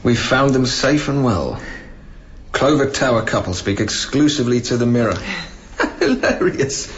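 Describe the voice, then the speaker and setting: A dull, noisy radio drama recording of a hopeful young dad, devoted and playful. His low voice moves around a lot as he gently encourages. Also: sing-song, a little slow. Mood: playful, upbeat. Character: devoted, hopeful young father and former club DJ. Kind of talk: naturalistic radio drama acting. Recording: dull lo-fi recording, clear background noise.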